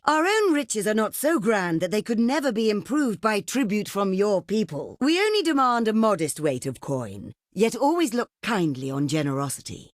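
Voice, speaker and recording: A woman playing a proud, shrewd desert queen in a video game, speaking in a formal, regal, measured way. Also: medium pitch, no room sound, studio quality, close to an everyday voice. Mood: commanding. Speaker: game character voice actor. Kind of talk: theatrical video game voice acting.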